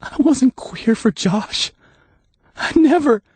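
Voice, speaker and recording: A man's voice: high-pitched, very animated, drawn-out words, dark tone, dry recording, pitched above the performer's own voice, heavily acted. A man voicing a desperate male deputy who pleads and breaks, his voice cracking. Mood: begging.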